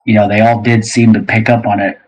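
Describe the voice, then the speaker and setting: A man's voice: lowish voice, flat delivery, a little fast, poor audio. A man hosting a casual interview chat, talking in a rambling, conversational way. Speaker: show host and game co-designer.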